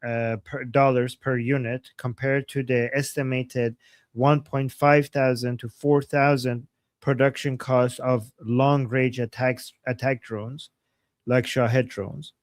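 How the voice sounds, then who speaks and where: A man co-hosting a live stream, laying out a proposal step by step in a measured, explanatory way. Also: medium pitch, little pitch movement, no echo. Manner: explains points methodically, brief acknowledgements. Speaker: co-host. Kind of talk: casual live-stream chat.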